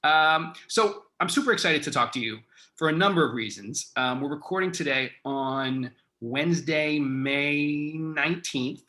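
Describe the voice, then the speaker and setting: A man's voice: fairly high pitch, unhurried, sharp tone. A man hosting a casual interview, talking in an informal, enthusiastic way. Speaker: interview host, artistic director.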